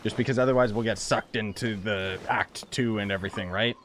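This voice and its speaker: A man's voice: on the low side, brisk, slight background noise. Chatty young man streaming a game. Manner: chatty, relaxed, thinks aloud. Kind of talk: casual live-stream commentary.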